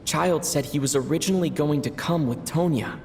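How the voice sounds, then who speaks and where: A man voicing a calm, thoughtful young male traveler in a game, musing to himself in a reflective inner monologue. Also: on the high side, brisk, slight background noise, lightly acted. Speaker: player character. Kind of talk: game voice acting.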